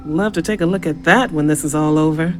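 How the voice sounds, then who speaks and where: An actress playing a focused, brisk scientist in a video game, precise and businesslike, with a careful but impatient manner. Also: female voice, low voice, slight background noise, close to an everyday voice. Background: music.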